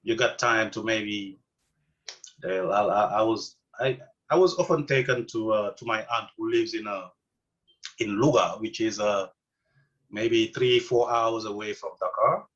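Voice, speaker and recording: A middle-aged man from Senegal with a Senegalese accent, answering interview questions casually with many pauses, fillers and restarts. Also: on the low side, fairly bright tone, dull audio. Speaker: interview guest.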